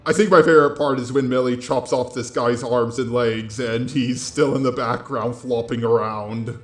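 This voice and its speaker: A man's synthetic robot voice narrating a scripted review in a deadpan, sarcastic, sweary way. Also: mid-range voice, normal speed, roomy sound. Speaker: video reviewer.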